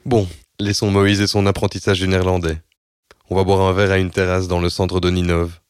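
A man hosting a podcast, narrating his scripted report in a calm, measured way. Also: sits low, a little hiss or hum. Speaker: podcast host.

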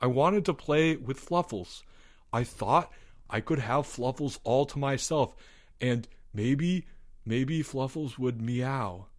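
A man's voice: mid-range voice, slow pace, fairly bright tone, pitched above the performer's own voice. A man voicing a frightened, guilt-ridden schoolboy in a story, stammering and pleading his innocence. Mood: panicked, pleading.

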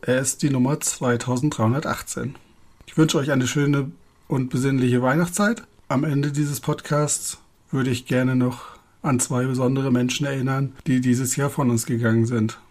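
Man hosting a podcast, mid-pitched. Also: fairly even intonation, moderate pace. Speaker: podcast host. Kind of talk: podcast interviewing and scripted announcements.

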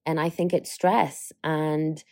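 Slightly croaky woman, rambling. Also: low voice, steady tone, takes it slowly, mellow. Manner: rambling, self-mocking, loses her train of thought. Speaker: podcast host. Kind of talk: casual solo chat.

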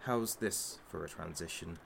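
A man's voice: sits low, measured pace, vocal fry, a bit bright, husky, heavily acted. An actor playing a masked kidnapper who hosts a sadistic hostage talk show in an audio drama, as a mocking, theatrical showman. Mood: smug showman.

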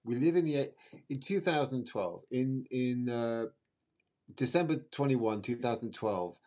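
A man's voice: mid-range voice, animated, unhurried, a little mellow. Man explaining emphatically in conversation. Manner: emphatic, rambling, repeats words for emphasis. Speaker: astrologer, podcast guest.

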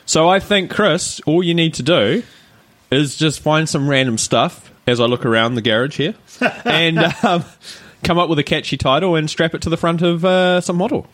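A man's voice: on the high side, moderate pace, a bit bright, dry recording. A man co-hosting a podcast, chatting casually, joking, teasing and laughing often. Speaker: podcast co-host. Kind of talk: casual chat.

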